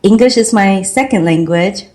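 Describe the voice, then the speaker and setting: A woman from Taiwan, a marketing consultant telling her life story as a podcast guest in an earnest, measured way. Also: medium pitch, darkish tone.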